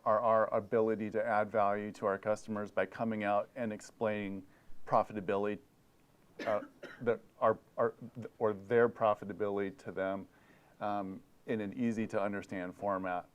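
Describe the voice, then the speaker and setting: A man's voice: lowish voice, clean tone. A middle-aged man from Hawaii giving a presentation at a conference, speaking with many fillers and repeated words into a lapel mic. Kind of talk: presentation talk. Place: conference room, indoors.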